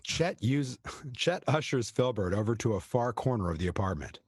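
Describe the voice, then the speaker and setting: A man's voice: mid-range voice, expressive, moderate pace, darkish tone, husky, no room sound, studio quality. A man reading stage directions as the narrator of a scripted play.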